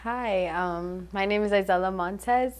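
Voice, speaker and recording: A woman's voice: mid-range voice, unhurried. A teenage girl raised in New Jersey, a student filmmaker answering interview questions informally, with lots of "like". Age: teens. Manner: informal, uses "like" a lot, laughs easily.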